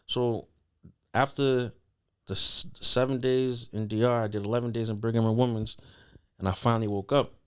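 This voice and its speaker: A man's voice: mid-range voice, level tone, normal speed, smooth. A young man telling a personal story as a podcast guest in a casual, slangy, earnest conversational way.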